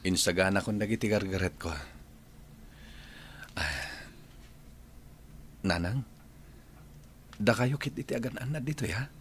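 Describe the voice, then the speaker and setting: A very deep-voiced Ilocano young village son, earnest and respectful. He speaks in radio drama style over faint noise. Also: a little slow, long pauses, a bit bright. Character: dutiful, respectful grown son from a rural village. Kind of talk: Filipino radio drama acting.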